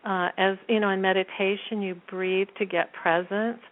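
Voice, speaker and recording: Soft-voiced woman laughing on a phone line. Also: medium pitch, fairly even intonation, moderate pace, a little hiss or hum. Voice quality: naturally soft voice. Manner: laughs often while talking. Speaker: guest, performance coach. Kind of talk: casual interview talk.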